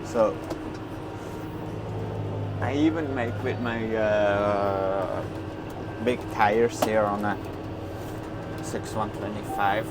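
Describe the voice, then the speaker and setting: A man whose native tongue is Swiss German, talking casually in a rambling, halting way with many fillers as he mows in a tractor cab, on a clip-on mic. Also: on the low side, fairly even intonation. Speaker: farmer vlogger. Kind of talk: casual talk while mowing in a tractor. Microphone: wireless clip-on mic. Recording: clear engine noise behind voice. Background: tractor engine noise.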